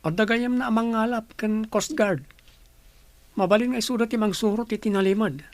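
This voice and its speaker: An actor playing a brisk, scheming character in a Philippine radio drama. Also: medium pitch, no echo, close to an everyday voice. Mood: brisk, conspiring.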